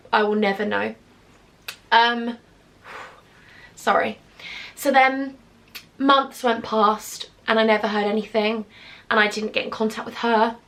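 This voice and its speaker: A woman's voice: a little high, fairly bright tone. A young British woman telling a personal storytime on her vlog, chatty and confiding with sarcastic asides. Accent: British English. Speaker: YouTuber.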